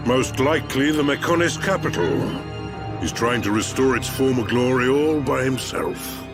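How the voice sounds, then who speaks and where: A man acting a kindly, ancient machine-race village chief in a video game, with a measured, theatrical storytelling manner. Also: mid-range voice, lightly acted. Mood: calm, welcoming. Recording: game audio with music bed.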